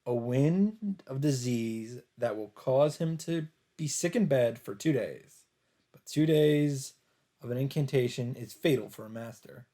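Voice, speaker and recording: A man narrating a visual novel aloud as a let's player, in a measured, casual read-aloud delivery. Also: medium pitch, animated, warm tone.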